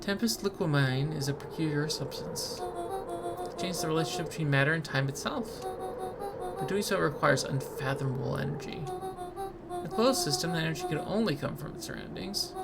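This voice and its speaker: A woman voicing an aloof, cryptic male creature who explains things in a measured, formal way for a game. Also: low voice, heavily acted. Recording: loud game music behind.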